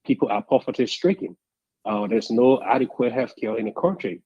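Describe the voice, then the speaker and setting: A man calling in to a radio talk show, speaking in a deliberate, measured way that builds to emphatic points. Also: mid-range voice, mellow, husky, no room sound, dull audio. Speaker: caller. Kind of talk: call-in radio commentary.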